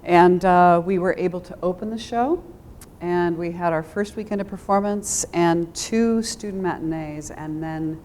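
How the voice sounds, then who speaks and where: A woman speaking as a guest theater director in a panel discussion, thoughtful and expressive, pausing as she thinks. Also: lowish voice, slight background noise.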